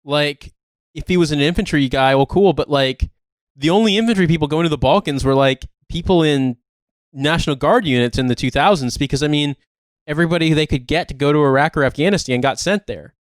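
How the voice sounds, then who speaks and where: A man's voice: a little high, brisk, dry recording, clean studio sound. A man co-hosting a podcast in casual banter, talking in an emphatic, incredulous, sweary way. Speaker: podcast co-host.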